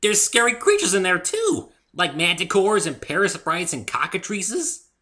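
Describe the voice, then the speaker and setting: A man voicing a bubbly, innocent, excitable young female cartoon pony in an amateur story reading. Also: high voice, normal speed, pitched above the performer's own voice, heavily acted. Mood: alarmed, eager. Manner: cheerful, exclamatory, tactless.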